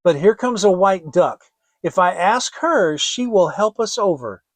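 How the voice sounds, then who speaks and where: Storybook little girl, acted by a man: high, earnest, pleading, gentle-hearted, unhurried. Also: animated, dry recording. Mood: hopeful. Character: frightened but clever little girl, earnest and kind. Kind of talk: dramatic fairy tale reading.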